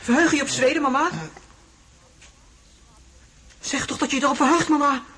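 A woman playing an eager, excited young boy prince in an audio drama. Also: high voice, fast. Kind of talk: Dutch audio drama acting. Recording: distant, very noisy outdoor scene with birds.

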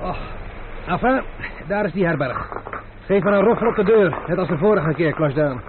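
An actor playing a self-assured gentleman adventurer in a radio play, urbane, wry and patronising. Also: sits high, lively intonation, moderate pace. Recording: muffled, noisy old radio recording.

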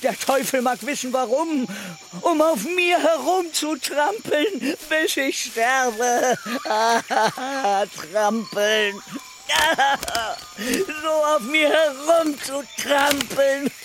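An actor in a radio play voicing a wretched, self-pitying schemer who whines, mutters and repeats himself bitterly. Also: male voice, very high voice, drawn-out words, noisy background, heavily acted. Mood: bitter, aggrieved. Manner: whining, muttering, repetitive, laughing bitterly. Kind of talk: German radio play acting.

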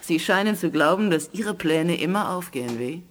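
A woman's voice: fairly low pitch, animated, moderate pace, a little hiss or hum, natural voice. A woman playing a proud alien noblewoman in a science fiction radio drama, haughty and challenging.

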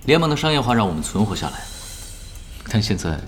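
A man playing a calm, resolute veteran pro gamer in an audio drama, composed and understated in delivery. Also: on the low side, quick pace. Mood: reassuring.

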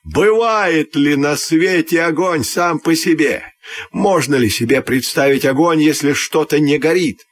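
A man's voice: fairly high pitch, measured pace, dry recording, pitched above the performer's own voice. A man as boastful, indignant talking firewood. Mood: indignant boasting. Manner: bragging, exclamatory. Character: boastful, indignant burning firewood logs, loud and proud.

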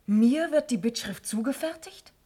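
An actress playing a noble young princess in a classical radio drama, speaking solemn, declamatory verse with passion and pride. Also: female voice, on the high side, expressive, moderate pace, warm tone. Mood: grave, resolved.

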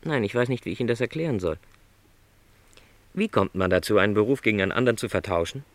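A man's voice: lowish voice, lively intonation, quick pace, lightly acted. An actor playing a lonely, contented travelling salesman who is no longer young, speaking modestly and politely in a radio play. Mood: pensive, resigned. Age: middle-aged.

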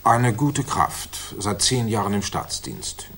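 An actor in a 1960s German radio drama playing a self-satisfied, authoritative senior government official who speaks formally and deliberately. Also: male voice, fairly low pitch, sing-song, creaky, fairly bright tone, slight background noise, heavily acted. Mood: matter-of-fact.

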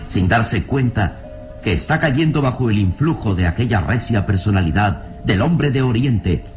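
A man's voice: deep voice, a little mellow. A radio serial narrator who tells the story solemnly and with suspense. His delivery is theatrical, rising and falling widely, with prominent music behind it. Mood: suave.

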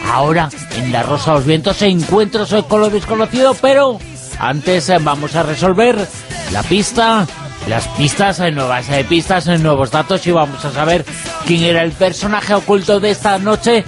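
A man's voice: a little high, lively intonation. Spanish man hosting radio over music. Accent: Spanish (Spain). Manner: announcer-like, halting with fillers. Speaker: radio host. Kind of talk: radio presenting.

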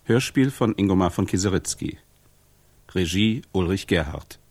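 A man's voice: sits low, lively intonation, normal speed, heavy background noise. A man announcing the title and credits of a radio play in a scripted delivery.

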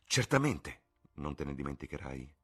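A man voicing an eager, naive young man in an audiobook, with a husky voice. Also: very deep voice, big pitch swings, normal speed, full character voice. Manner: eager, hesitant. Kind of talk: audiobook character voice.

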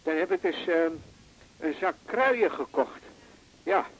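A man's voice: on the high side, lively intonation, takes it slowly, slight background noise, poor audio. An actor playing a chatty, boastful, jovial elderly male patient in a radio drama, with a folksy way of talking. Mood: puzzled, chatty. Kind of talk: naturalistic radio drama acting.

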